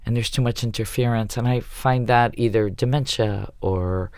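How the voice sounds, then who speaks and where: A man's voice: medium pitch, normal speed, darkish tone, clean tone, no room sound, studio quality. A man who works as a theater director, speaking reflectively and effusively in a panel discussion.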